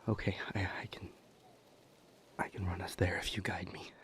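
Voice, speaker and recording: A man playing a protective, urgent demon lover in an intimate audio roleplay, speaking in an earnest, hushed way. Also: sits low, flat delivery, normal speed, whispered. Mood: urgent, grave.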